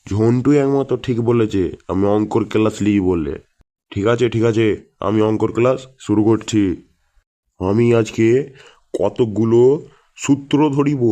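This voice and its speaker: A man's voice: fairly low pitch, a little mellow, close to an everyday voice. A man voicing a strict, hot-tempered schoolteacher in a comic cartoon, scolding and blustering. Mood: bossy. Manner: scolding, blustering, coarse-tongued. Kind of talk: comic cartoon dialogue in Bengali village school skit.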